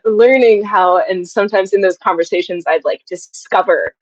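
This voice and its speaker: A woman's voice: medium pitch, normal speed, no echo, dull audio. A woman in her twenties chatting casually as an interview guest, thinking aloud as she talks. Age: 20s. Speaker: guest, audio drama writer.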